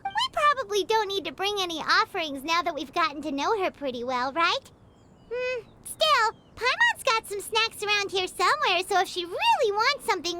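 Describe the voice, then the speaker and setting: A woman voicing a tiny, chatty, excitable fairy girl guide in a video game, with a squeaky childlike voice. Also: heavy background noise. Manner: refers to self in third person, bubbly. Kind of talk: animated game voice acting. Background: music.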